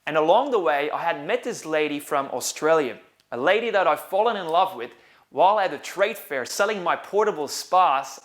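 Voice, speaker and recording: A man's voice: on the high side, a bit bright. Earnest middle-aged man from Belgium. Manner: earnest, reflective, measured delivery. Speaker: business coach. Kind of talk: personal storytelling to camera. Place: sitting on a couch indoors.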